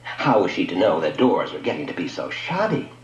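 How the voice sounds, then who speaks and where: A man's voice: low voice, sing-song, a little fast. A man narrating a scripted science fiction story in a dramatic radio storytelling style. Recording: dull lo-fi audio, echoey room.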